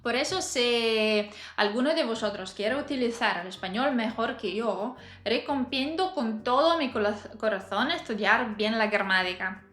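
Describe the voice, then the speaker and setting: Bright-voiced woman vlogger, roomy sound. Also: on the high side, expressive, moderate pace. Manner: chatty, laughs often, hesitant fillers. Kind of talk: casual talk to camera. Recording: roomy echo, faint music behind.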